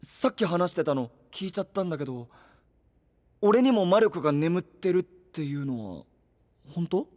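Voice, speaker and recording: A male voice actor playing an open-hearted, energetic young man with a casual, boyish manner in an anime-style audio drama. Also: sits high, moderate pace, long reverb tail. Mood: friendly.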